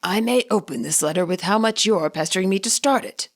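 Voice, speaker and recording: A woman voicing a gentle old man, a grandfather in his nineties, speaking in a mild, measured, reflective way for a story. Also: mid-range voice, close to an everyday voice. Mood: mild, wry. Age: 90s as played.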